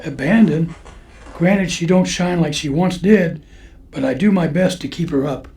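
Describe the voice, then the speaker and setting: A man's voice: a little high, a little slow, a little mellow. An actor playing a stern, folksy older churchman in a Western radio drama. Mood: guarded, wry. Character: stern balding old churchman, gruff but devoted caretaker.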